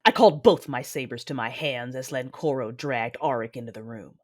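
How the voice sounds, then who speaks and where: A woman's voice: extremely low pitch, a little fast. An actress playing a vengeful, anguished Sith warrior, narrating her own tale in an intense, brooding, theatrical manner for an audio drama. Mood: bitter. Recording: loud music behind the voice. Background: loud dramatic music.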